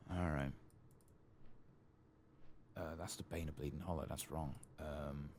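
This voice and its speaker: A man giving soft-spoken ASMR commentary as he plays a game, in a hushed murmur. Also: rumbling low, fairly even intonation, slow, a little hiss or hum. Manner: hushed, murmured, occasionally whispering. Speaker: gaming channel host.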